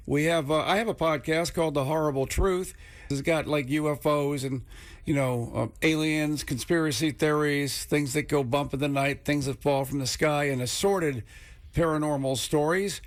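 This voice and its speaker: A man's voice: a little high, normal speed. A man working as a radio DJ, talking in a casual, conversational way between songs on a close studio mic. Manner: chatty, conversational. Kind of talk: casual music radio DJ patter. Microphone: close studio radio microphone.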